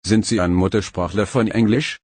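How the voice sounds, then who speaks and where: A man with a somewhat low voice and a husky, breathy edge reads practice phrases one at a time. He speaks slowly and evenly, pausing after each phrase. The sound is dry and close, with no room behind it. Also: no echo. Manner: slow, even, careful phrase-by-phrase reading. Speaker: phrase reader. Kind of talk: reading phrases for learners.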